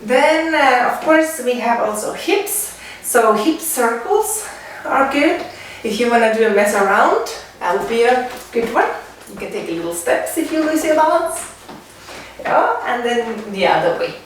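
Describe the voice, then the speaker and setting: Female fitness and dance instructor, Finnish accent, halting demonstration delivery; very echoey room, recorded at a distance. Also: fairly high pitch, lively intonation. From Finland. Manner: halting, pausing between short phrases while demonstrating. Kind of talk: spoken exercise demonstration. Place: indoors at home, away from the mic. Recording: echoey room, distant mic, faint noise.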